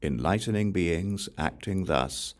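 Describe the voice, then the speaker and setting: Very deep-voiced man reading scripture slowly. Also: expressive. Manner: measured, deliberate phrasing with pauses. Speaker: audiobook reader.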